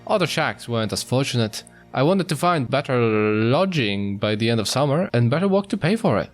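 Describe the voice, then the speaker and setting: Lively man narrating a visual novel. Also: medium pitch, a little fast. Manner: jokey asides, mock-apologetic. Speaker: host reading a visual novel aloud for a video. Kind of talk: casual let's-play narration, reading story text aloud with joking asides. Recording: music behind the voice. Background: music.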